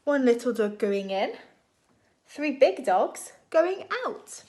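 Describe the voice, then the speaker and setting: A woman reading a picture book aloud to young children in an expressive, deliberate storytime style. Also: a little high. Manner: expressive, animated, deliberate phrasing for small children.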